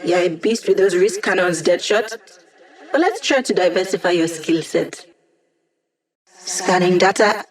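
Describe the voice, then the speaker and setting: A woman's voice: fairly low pitch, a little slow, pauses often, close to an everyday voice. An actress playing a young female hacker and tech ally in a video game, upbeat, casual and playfully sardonic. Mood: confident, instructive.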